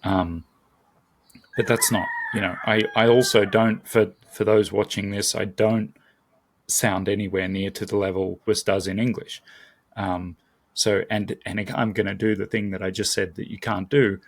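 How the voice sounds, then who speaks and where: A man's voice: lowish voice, level tone, moderate pace. A man speaking as a podcast guest, talking casually and thoughtfully, searching for words aloud. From not American. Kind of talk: casual conversation.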